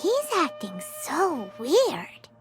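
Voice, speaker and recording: Tiny squeaky fairy companion. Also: female voice, huge pitch swings, very slow, music in the background, exaggerated voice. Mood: puzzled. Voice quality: squeaky, childlike. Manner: chirpy, blunt, third-person self-reference. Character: tiny childlike floating fairy companion, blunt and curious. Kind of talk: video game character dialogue.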